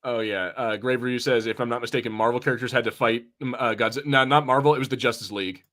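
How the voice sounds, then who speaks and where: A man's voice: medium pitch, steady tone, quick pace, sharp tone. Rambling man chatting casually on a podcast. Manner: rambling, self-correcting, casually profane. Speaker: podcast co-host. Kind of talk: casual watch party chat, reading viewer comments.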